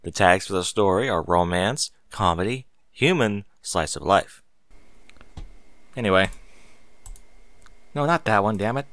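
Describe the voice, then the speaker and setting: A man's voice: low-pitched, animated, measured pace, long pauses, a bit bright, dry recording. A man reading aloud in a casual way, sometimes stumbling and slipping into off-script asides. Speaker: online reader and streamer.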